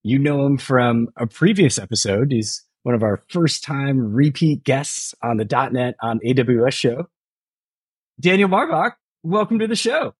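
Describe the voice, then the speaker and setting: Husky-voiced upbeat male host, dry close mic. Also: medium pitch, animated, unhurried, dry recording. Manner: upbeat, enthusiastic. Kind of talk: show hosting.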